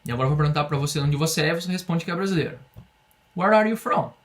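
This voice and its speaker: Brazilian man, English teacher, young adult, patient drilling style, slightly higher voice. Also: lively intonation. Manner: patient, explains slowly, drills phrases for repetition. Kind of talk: online language lesson.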